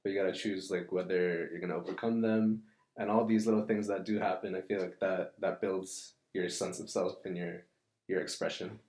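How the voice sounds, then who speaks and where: A man co-hosting a podcast in casual reflective chat, supportive and quick to affirm. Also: low voice, steady tone, darkish tone. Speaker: podcast co-host. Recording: echoey room.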